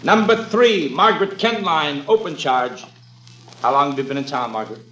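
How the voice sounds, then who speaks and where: An actor playing a businesslike police sergeant in a 1950s radio drama, giving procedural instructions in an official, matter-of-fact way. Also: male voice, on the high side, normal speed, a bit bright, muffled recording, lightly acted. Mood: commanding. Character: brisk, businesslike male police sergeant running lineups. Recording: echoey vintage radio stage sound.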